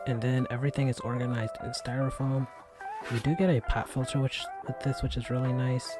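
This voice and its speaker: Soft-spoken guy doing a chill tech review, pretty monotone, music playing behind. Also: medium pitch. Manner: relaxed, chatty, many fillers. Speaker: tech reviewer, channel host. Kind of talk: casual product review vlog. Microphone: USB desk microphone.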